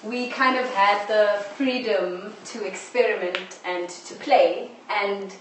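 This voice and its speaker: Woman, distant and very echoey. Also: medium pitch. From South Africa. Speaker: audio drama producer. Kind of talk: explanatory interview answer. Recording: echoey, distant live stream, faint noise.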